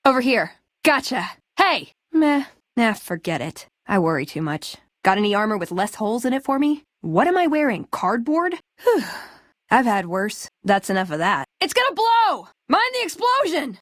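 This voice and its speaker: A woman's voice: on the high side, no room sound, studio quality. An American actress playing a spirited, sarcastic young woman, a scrappy scavenger and brawler companion in a video game. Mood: shouting alarm. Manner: sarcastic, playful, quippy. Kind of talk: video game dialogue acting.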